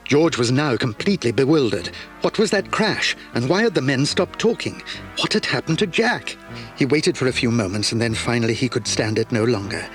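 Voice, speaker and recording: A man narrating a children's audio drama in a measured, suspenseful way. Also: mid-range voice, big pitch swings, soft background music. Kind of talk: scripted storybook narration.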